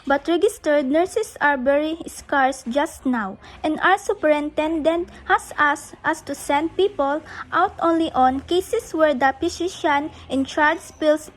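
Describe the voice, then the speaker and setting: A woman's voice: high-pitched, measured pace, hardly any pauses, poor audio. A woman acting in a radio play as a courteous, businesslike hospital receptionist with a formal manner. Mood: polite, formal.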